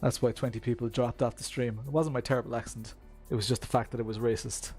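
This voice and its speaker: An Irish man with a mid-pitched voice chats casually with viewers on a sim racing stream. He is self-deprecating and laughs easily, and faint music plays underneath him. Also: steady tone, fast, darkish tone. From Ireland. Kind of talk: casual live-stream chat.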